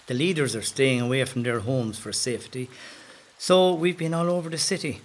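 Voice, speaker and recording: An actor with an Irish accent playing a rebel's affable, wry brother in a first-person audio drama monologue. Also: male voice, medium pitch, normal speed, close to an everyday voice. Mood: confiding, concerned.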